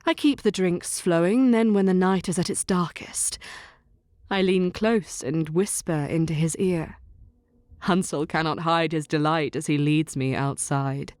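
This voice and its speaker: Slow, low-voiced vengeful witch. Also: darkish tone, no room sound, clean studio sound. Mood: cold, seductive.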